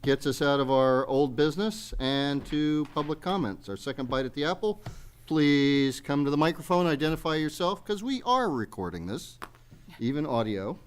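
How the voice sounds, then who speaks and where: A man's voice: a little high, lively intonation, normal speed, clean tone. A man chairing a local government meeting in a businesslike way, steering the discussion along. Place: town committee meeting room.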